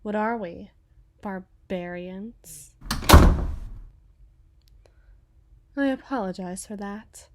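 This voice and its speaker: A woman's voice: mid-range voice, takes it slowly, very noisy, natural voice. A woman playing a menacing, mockingly sweet female mob boss in a dramatic ASMR roleplay, taunting and drawing out her words for emphasis. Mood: smooth, teasing.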